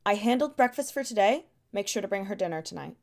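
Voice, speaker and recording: Stern, businesslike castle superior. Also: female voice, medium pitch, animated, vocal fry, darkish tone. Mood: brisk. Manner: firm, businesslike, deliberate. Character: stern female royal household superior giving orders. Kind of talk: audio drama dialogue. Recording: music behind the voice.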